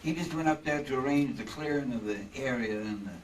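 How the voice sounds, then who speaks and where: Casting: male actor as excitable, folksy insurance man for vintage radio drama re-creation, hems and repeats, heard at a distance. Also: mid-range voice, a little fast, close to an everyday voice. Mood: earnest. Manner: folksy, hemming, repeats himself. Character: male insurance man Earl, hospitable, excitable, bossy husband. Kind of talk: old-time radio drama re-creation on stage. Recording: distant stage mics, some room noise.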